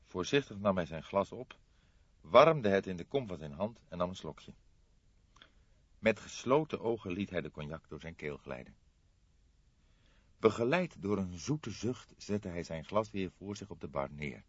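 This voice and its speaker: A male narrator with a fairly low voice reads literary prose expressively. The recording is dry and close, with a somewhat dull, lo-fi sound. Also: lively intonation, measured pace, pauses often, husky, no room sound. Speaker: audiobook narrator.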